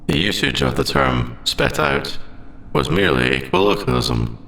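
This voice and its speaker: A man's voice: medium pitch, wildly swooping pitch, normal speed, creaky, fairly bright tone, roomy sound, slight background noise, exaggerated voice. A man voicing a polite, literal sentient AI in a scripted roleplay audio drama, speaking in stilted, formal, robotic phrasing. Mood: matter-of-fact. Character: male sentient AI, polite, literal, hiding forbidden feelings.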